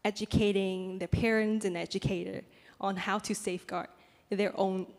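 A young woman whose first language is Cantonese reads a prepared statement into a handheld mic, pausing often with ums. Her delivery stays flat and even in pitch. The sound carries the long reverb of a large conference hall. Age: young adult. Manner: reads from laptop with many pauses and ums. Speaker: youth panel speaker. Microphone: handheld microphone.

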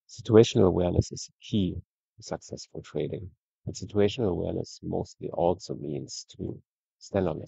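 A man with a very low, heavily gravelly voice talks through trading charts in an easy, conversational way. His tone is husky and dark, and he often tags his points with a questioning "right?". The recording is slightly dull and lo-fi. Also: dry recording. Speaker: trading educator. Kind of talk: screen-share trading review.

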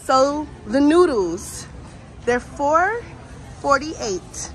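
High-voiced American woman vlogging casually. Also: sing-song, very slow, low-quality recording. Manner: informal, conversational, addresses viewers directly. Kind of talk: casual shopping vlog chat. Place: inside a large warehouse store. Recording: faint store ambience noise.

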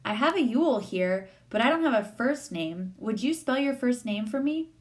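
A woman's voice: a little high, animated, normal speed, voice pushed up, lightly acted. A woman voicing a prim, nervous female schoolteacher in a story, proper and polite in her speech. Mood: softly inquiring.

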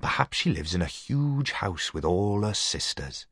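A man's voice: low voice, very animated, a little slow, a bit bright, husky, dry recording, clean studio sound, full character voice. A man playing a dreamy, melodramatic young man in a story, speaking in a wistful, florid way.

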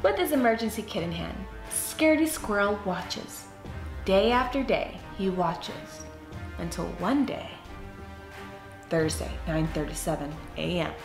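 A woman reading a children's storybook aloud in an expressive, dramatic way for young listeners. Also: medium pitch, unhurried. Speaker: children's book read-aloud host. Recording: roomy echo with music behind. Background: music.

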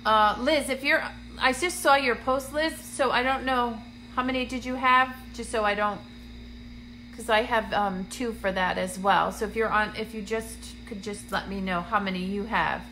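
A bright-voiced woman chats on a phone live stream from a dim room, greeting viewers by name between plenty of ums. She sounds congested and a touch raspy, with a faint hum behind her. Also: a little high, moderate pace, poor audio. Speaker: medium hosting a live stream. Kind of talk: casual livestream chat to viewers. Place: dim indoor room, seated on a couch. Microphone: phone camera at arm's length.

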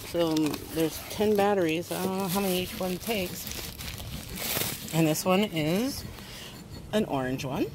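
A woman's voice: lowish voice, unhurried, pauses often. A woman giving a casual unboxing review outdoors, talking into a handheld camera and piecing her explanations together as she goes. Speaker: toy review channel host, mother. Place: outdoors on a wooden step beside a house. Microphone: handheld phone or camera close by. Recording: noisy handheld outdoor recording.

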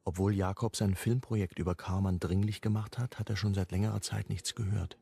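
A man's voice: fairly low pitch, fairly even intonation, a little fast, creaky, dark tone, breathy edge. A man narrating a scripted radio crime drama.

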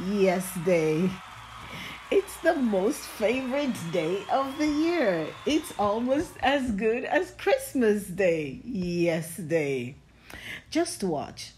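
A woman's voice: medium pitch, darkish tone, a little hiss or hum. A woman reading a storybook aloud to young children as host, in a playful, affectionate sing-song manner.

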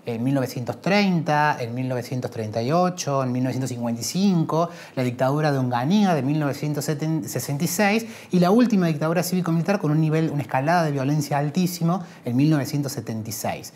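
A man's voice: a little high, fast, few pauses, darkish tone. Earnest Argentine man arguing his point. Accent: Argentine Spanish. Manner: earnest, argumentative, rising emphasis on key points. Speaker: lawyer and researcher, interviewee. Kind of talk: explanatory interview answers. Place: indoor room, seated interview.

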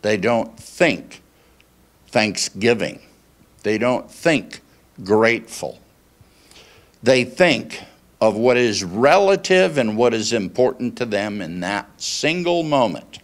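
Slow, low-voiced older male pastor. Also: lively intonation. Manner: deliberate, pausing phrases. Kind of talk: conversational sermon with questions to congregation. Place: small church meeting room, indoors. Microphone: handheld microphone held at chest.

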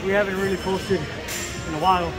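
A man's voice: sits high, steady tone, moderate pace, over loud music. A man vlogging casually with a friend in a large gym, joking and swearing, laughing often.

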